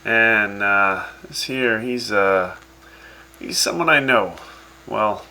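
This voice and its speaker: Cav, a sarcastic gravelly radio host voiced by a man. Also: lowish voice, slow, bright, forceful tone, a little hiss or hum, exaggerated voice, poor audio. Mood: wry. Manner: sarcastic, teasing, chatty. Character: wry sarcastic male wasteland radio host.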